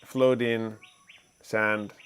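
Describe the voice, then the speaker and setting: A man co-hosting a casual livestream who chats loosely, laughs often and reads a news article aloud haltingly. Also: on the low side, level tone, a bit bright, clean tone. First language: English, Fijian not first language. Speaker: livestream co-host.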